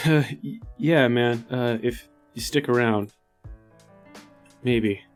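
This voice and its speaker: A man's voice: medium pitch, very slow. Man, tabletop RPG player, casual improvised role-play, hesitant rambling delivery, mid-range voice, faint music behind.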